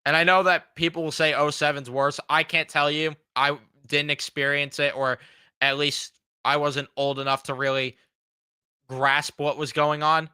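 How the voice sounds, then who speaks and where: A man in his twenties vents with blunt, frustrated, often profane commentary, though he is getting over a cold. His voice is very bright and crisp. The sound is close and dry. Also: on the high side, flat delivery, moderate pace, dry recording. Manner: blunt, frustrated, swears freely. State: fighting a cold. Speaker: sports fan show host. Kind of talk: casual reaction commentary.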